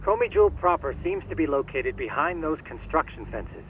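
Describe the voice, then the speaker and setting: High-voiced, courteous tech expert. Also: male voice, expressive, normal speed, a little hiss or hum. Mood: helpful, assured. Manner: formal, precise, courteous. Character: polite, eager, confident male tech-expert ally. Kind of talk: dramatic video game voice acting. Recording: dull band-limited sound, faint music.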